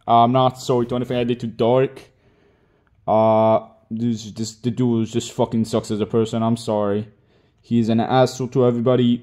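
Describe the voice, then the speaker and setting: Young man, native Hebrew speaker, mellow dark tone, defensive, rambling, filler-heavy. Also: mid-range voice. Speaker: online gamer. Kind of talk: casual apology video.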